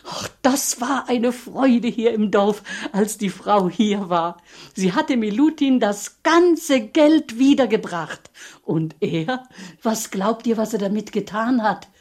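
A woman acting a loyal, talkative villager in a radio play, confiding and emphatic, with a husky voice. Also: a little high, slow pace, a little mellow. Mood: animated telling.

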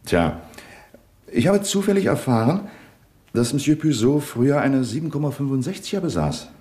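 An actor playing a shrewd, calm male police commissioner in a 1970s radio drama, with a Swiss German accent, speaking in a measured, courteous, probing way. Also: mid-range voice, roomy sound, close to an everyday voice.

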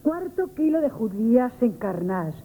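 An actress playing a pert, wisecracking grocery customer in a radio comedy, with a Spanish accent. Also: female voice, fairly high pitch, very animated, measured pace. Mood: saucy. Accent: Spain. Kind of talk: comic radio theatre acting. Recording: muffled old radio recording.

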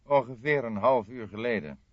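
A man voicing a courteous, apologetic gentleman in his forties in an audiobook, speaking formally and emphatically. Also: medium pitch, normal speed, no room sound, pitched above the performer's own voice, lightly acted. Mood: formal, forthcoming. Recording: dull, dry lo-fi audiobook recording.

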